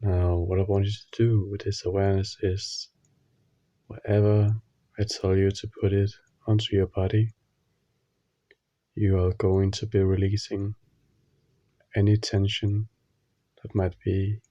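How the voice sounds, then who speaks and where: A man leading a guided meditation, giving gentle instructions in short phrases with long pauses. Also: fairly low pitch, little pitch movement, no room sound, lo-fi sound.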